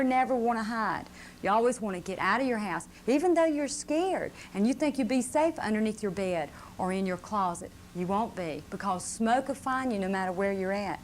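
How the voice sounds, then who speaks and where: A woman's voice: medium pitch, lively intonation, moderate pace. A woman giving an informal fire safety talk to children, often checking with tag questions. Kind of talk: live instructional talk to children. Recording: faint noise on old videotape.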